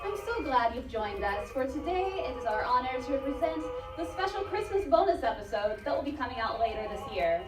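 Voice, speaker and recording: Female host, high voice, theatrical announcing; echoey stage, music loud behind her. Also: big pitch swings, fairly quick, hardly any pauses, far from the mic. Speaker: show host. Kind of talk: theatrical scripted welcome. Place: convention live show stage.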